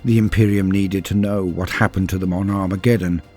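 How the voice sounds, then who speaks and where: A man delivering dramatic scripted lore narration in a solemn, grave and measured storytelling manner. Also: sits low, fairly even intonation, a little mellow, faint music behind. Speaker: narrator and host of a lore channel.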